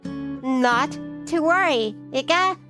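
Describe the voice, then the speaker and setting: A woman's voice: sits high, animated, takes it slowly. Woman narrating a visual novel over music. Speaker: streamer reading a visual novel aloud. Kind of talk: narration with casual playthrough asides. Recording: music behind the voice.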